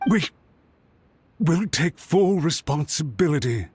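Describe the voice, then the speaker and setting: High-pitched, servile male official. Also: lively intonation, slow, husky, no room sound, full character voice. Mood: anxious. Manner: deferential, apologetic, earnest. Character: anxious, servile male official pleading to superiors. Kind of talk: scripted video game voice acting. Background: background music.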